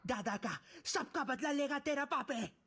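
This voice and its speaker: A woman's voice: sits high, expressive, a bit bright, echoey room. A woman voicing a sassy, swaggering female battle-game fighter, stretching out taunting one-liners. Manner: drawn-out, taunting delivery.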